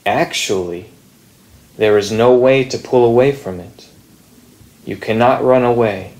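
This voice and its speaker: A man reading a contemplative spiritual text in a measured, deliberate manner with long pauses. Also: mid-range voice, a little mellow. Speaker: reader at a Zen meditation group.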